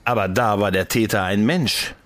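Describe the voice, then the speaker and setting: An actor playing an anxious, sympathetic companion in a German audio drama. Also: male voice, lowish voice, normal speed, a bit bright, natural voice. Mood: uneasy, resolute. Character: anxious, sympathetic male companion, ready to act.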